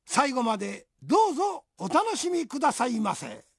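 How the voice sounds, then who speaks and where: A woman's voice: high-pitched, very animated, moderate pace, gravelly. A woman hosting a radio show, reading a scripted introduction and narration for a radio drama.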